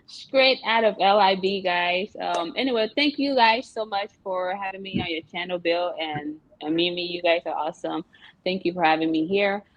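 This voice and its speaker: A woman's voice: fairly low pitch, moderate pace, muffled recording. A young woman from Liberia, a guest entrepreneur in an interview, talking casually with many fillers and laughs. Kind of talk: interview chat.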